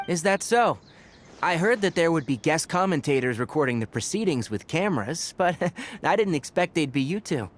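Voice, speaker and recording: A man voicing a sensible, dry-witted young forest ranger who explains things calmly. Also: fairly high pitch, moderate pace. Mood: level. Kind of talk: video game voice acting.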